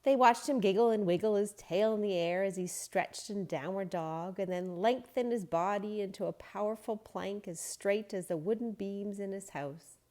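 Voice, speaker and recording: A woman reading a children's story aloud in a gentle, expressive, unhurried way. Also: mid-range voice. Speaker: storybook reader.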